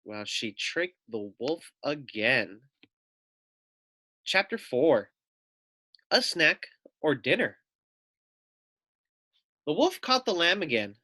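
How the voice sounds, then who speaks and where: A man's voice: a little high, sing-song, takes it slowly, long pauses, bright, forceful tone, no echo. A man reading a children's story aloud expressively, with simple explanatory asides for young listeners.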